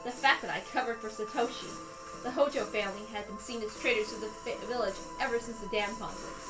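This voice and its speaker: High-voiced woman narrating a dramatic story. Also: quick pace, reverberant, poor audio. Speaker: narrator reading a visual novel aloud. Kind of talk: dramatic first-person narration. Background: background music.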